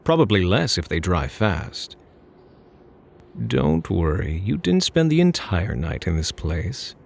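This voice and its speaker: A smug phantom thief, played by a man, purrs in a deep, husky voice with plenty of gravel. His delivery swings playfully up and down, theatrical and flirtatious. It is ASMR roleplay, full of teasing mock politeness. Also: sing-song, slow, heavily acted. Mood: smug, mock-caring.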